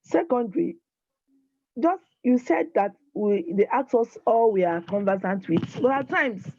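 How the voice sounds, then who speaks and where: Woman student, raspy and gravelly, hesitant and polite, on a dry, dull call line. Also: fairly high pitch, expressive, a little slow, a little mellow, no room sound. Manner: polite, hesitant, clears throat, addresses tutor as ma. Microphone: video call.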